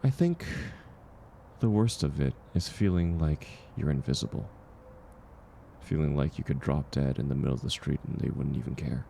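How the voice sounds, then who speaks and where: A man acting a kind, gentle stranger in an intimate audio roleplay, speaking reassuringly and unhurriedly to the listener. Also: a bass voice, creaky, slight background noise. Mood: wistful, reflective. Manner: soft-spoken, reassuring, unhurried. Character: kind, gentle man, once homeless, sharing dinner with a stranger.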